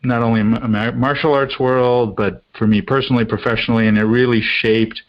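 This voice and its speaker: A man's voice: medium pitch, steady tone, a little fast, highs cut off. A middle-aged man who grew up in Australia, England and the US, answering conversationally as an interview guest. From India-born, grew up in Australia, England and the US. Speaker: guest, coach.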